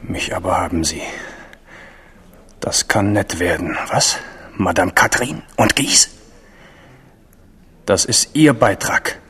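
A man's voice: lowish voice, a bit bright, slightly rough, full character voice. An actor playing a bitter young captive prince who broods and curses his victors in a radio play. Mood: bitter irony. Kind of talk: 1970s radio play acting, brooding monologue.

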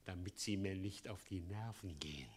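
An actor playing an eccentric, half-mad, fawning older town mayor in a theatrical radio play. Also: male voice, deep voice, moderate pace, natural voice. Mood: theatrical, irritable. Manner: theatrical, swinging abruptly between pleading and nervy outbursts. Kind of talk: German radio play acting.

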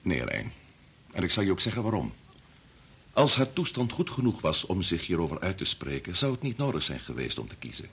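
An actor playing a grave middle-aged chief bacteriologist and family man torn by conscience, speaking formally and deliberately in a radio play. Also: low-pitched, wildly swooping pitch, a bit bright, husky, exaggerated voice. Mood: grave, explaining. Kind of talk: Dutch radio play acting.

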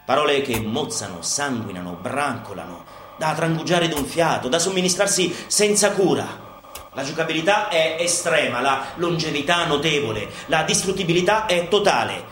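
A man playing a provocative theatrical showman, declaiming a scripted monologue with dramatic pauses. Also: lively intonation, a little hiss or hum. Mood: declamatory. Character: brazen theatrical showman presenting a subversive guerrilla show. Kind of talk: scripted theatrical monologue over live music. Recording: echoey stage sound under loud live music. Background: live bass and guitar music.

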